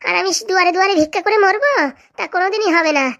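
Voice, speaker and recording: A woman's voice: extremely high pitch, very fast, a bit bright, dull audio. A woman voicing a vengeful, scheming jilted wife in a comedy cartoon, ranting and gloating to herself. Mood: gloating, furious.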